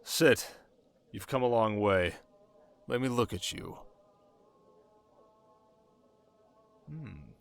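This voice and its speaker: A man playing a young, sharp, commanding desert king in an ASMR roleplay, speaking in a measured, teasing, possessive way with dramatic pauses. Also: on the low side, lively intonation, creaky, heavily acted. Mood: measured, appraising. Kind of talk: scripted ASMR roleplay addressed to the listener.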